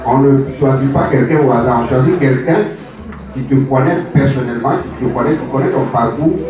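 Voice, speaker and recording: Man in a very echoey hall. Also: mid-range voice. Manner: deliberate, emphatic, repeats phrases for stress. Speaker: author answering audience questions about a book. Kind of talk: spoken answers at a public book presentation. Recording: echoey hall, distant mic, music behind.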